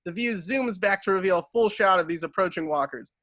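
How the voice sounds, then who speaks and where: A man's voice: high-pitched, brisk, a bit bright, no room sound. A man reading stage directions as scripted narration, giving scene headings in clipped fragments.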